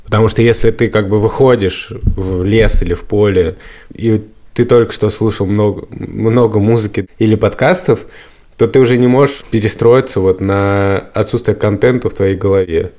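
A man's voice: lowish voice, fairly even intonation, normal speed, warm tone. A man co-hosting a podcast, chatting and telling stories in a conversational way. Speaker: podcast co-host. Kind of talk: casual podcast chat, storytelling.

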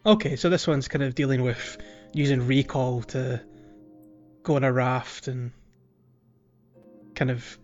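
A man chatting casually as a podcast co-host, thinking aloud in a halting way. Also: mid-range voice, steady tone, muffled recording, soft background music. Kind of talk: casual chat.

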